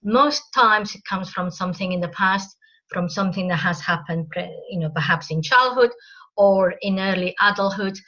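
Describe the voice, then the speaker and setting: Bright-voiced woman on a muffled call. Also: medium pitch, normal speed. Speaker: counselor and hypnotherapist. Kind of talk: networking self-introduction. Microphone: video call. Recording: muffled video call audio.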